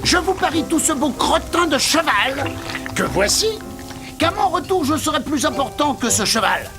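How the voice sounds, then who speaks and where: A man's voice: very high-pitched, sing-song, moderate pace, over music, heavily acted. A man voicing a vain, indignant young male scarab beetle in a cartoon, with a boastful, whiny, theatrical delivery. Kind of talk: animated cartoon dialogue.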